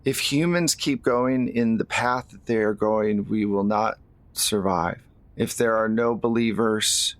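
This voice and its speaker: A man playing an embittered fairy in a tabletop game, grave, deliberate and resentful of humans. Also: fairly low pitch, fairly bright tone, dry recording.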